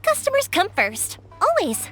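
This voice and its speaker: A woman voicing a cheerful, talkative, kind-hearted young fireworks maker in an anime-style video game, with an animated, rambling manner. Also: very high-pitched, measured pace, soft background music, heavily acted. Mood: reassuring, kind.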